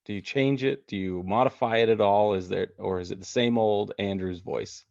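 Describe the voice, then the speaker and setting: A man with a fairly low, fry-heavy voice chats as a podcast interviewer. The recording is dull and lo-fi, with a dry sound and no room echo. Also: normal speed, a little mellow. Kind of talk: casual podcast chat. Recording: dull lo-fi voice track.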